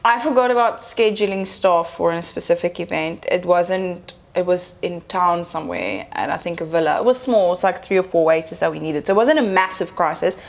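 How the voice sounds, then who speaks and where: A woman's voice: lowish voice, expressive, fairly quick, creaky, few pauses. A young woman, chatting casually as an event planner on a podcast and telling stories. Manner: chatty, storytelling, slips into Afrikaans phrases.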